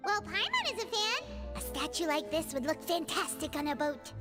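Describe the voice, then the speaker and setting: Game mascot: cheeky, excitable little fairy, piercingly high and squeaky, prominent music behind. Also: female voice, normal speed. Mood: excited. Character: tiny childlike fairy companion, excitable, cheeky, third-person talker. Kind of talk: video game voice acting.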